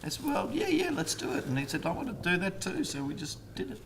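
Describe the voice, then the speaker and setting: Lively man, slightly high voice. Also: quick pace, background noise. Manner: relaxed storytelling, lively. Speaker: musician guest. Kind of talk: casual interview answers.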